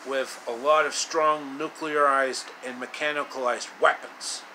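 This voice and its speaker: A man retelling a fairy tale in his own loose way, with halting, drawn-out delivery and casual asides. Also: mid-range voice, steady tone, sharp tone, a little hiss or hum. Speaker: storyteller.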